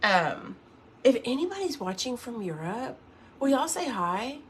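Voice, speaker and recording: A woman's voice: fairly high pitch, big pitch swings, unhurried, slight background noise. Chatty woman in her fifties, livestream. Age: 50s. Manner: chatty, rambling, reads viewer comments aloud. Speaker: makeup livestream host. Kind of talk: casual livestream makeup tutorial.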